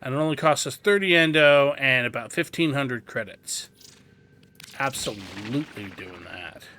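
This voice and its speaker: A man's voice: mid-range voice, expressive, normal speed, pushed and bright, slight background noise. A man giving a casual game walkthrough, explaining things as he goes and thinking aloud. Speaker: gameplay guide host.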